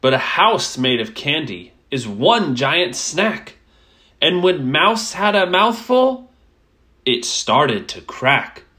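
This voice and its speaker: A woman reading a rhyming children's picture book aloud as a storyteller, with expressive, measured phrasing. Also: low voice.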